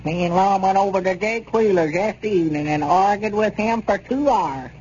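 An actor playing a fretful, stubborn elderly storekeeper with a folksy rural Southern drawl in a 1930s radio comedy. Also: male voice, high-pitched, brisk, darkish tone, natural voice. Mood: fretful, defensive. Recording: noisy, dull 1930s radio recording.

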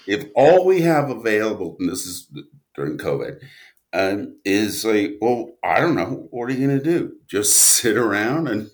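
A man talking casually as a podcast guest, an animated storyteller who rambles and interrupts himself. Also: mid-range voice. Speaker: guest, audio drama writer and producer.

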